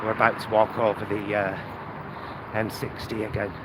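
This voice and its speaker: A man's voice: fairly low pitch, flat delivery, moderate pace. A man thinking aloud in a rambling, casual vlog, recorded on a phone while walking outdoors. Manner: rambling, musing, talking to himself with rhetorical questions. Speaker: vlogger. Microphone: phone on a selfie stick. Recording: loud wind and traffic noise. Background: cars, wind, urban outdoor noise.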